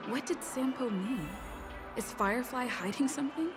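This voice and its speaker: A woman's voice: a little high, moderate pace, natural voice. An actress playing a determined young female adventurer in a video game, speaking plainly as she questions and ponders. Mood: suspicious, resolved. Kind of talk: video game character dialogue. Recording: background music under the voice.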